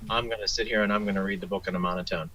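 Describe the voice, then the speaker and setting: A man's voice: deep voice, fairly even intonation, rapid-fire, sharp tone, a little hiss or hum. A man chatting casually as an interview guest about his creative work. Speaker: interview guest, audio drama writer.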